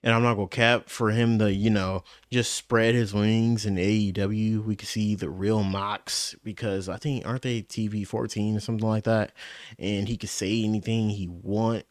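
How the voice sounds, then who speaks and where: A man with a fairly low voice reacts casually to a video as its host. His phrasing is halting and slangy, with swearing mixed in, and the sound is dry and close. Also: level tone, no room sound. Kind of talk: casual off-the-cuff reaction commentary.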